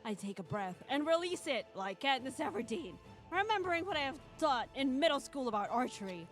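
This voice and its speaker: A woman's voice: high voice, very animated, brisk, soft background music. A woman streamer reading a visual novel's first-person narration dramatically, playfully breaking off for casual asides.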